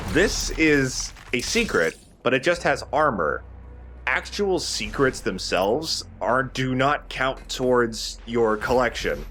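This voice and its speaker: A man's voice: mid-range voice, a bit bright, slight background noise. A man giving casual, chatty commentary as he explains a video game. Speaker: let's play host.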